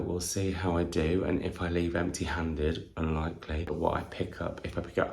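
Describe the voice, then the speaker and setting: A man talks casually to camera about his book haul in a deep voice with plenty of vocal fry. He is effusive and wanders into asides, often questioning himself as he goes. The recording has an audible roomy echo. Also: a little mellow. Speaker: book vlogger, channel host.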